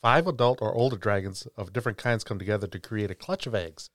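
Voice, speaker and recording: A man with a fairly low voice chats on a gaming podcast. He speaks casually as one of the co-hosts. The sound is dry, without noticeable echo. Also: fast. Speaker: podcast co-host. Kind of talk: casual tabletop gaming discussion.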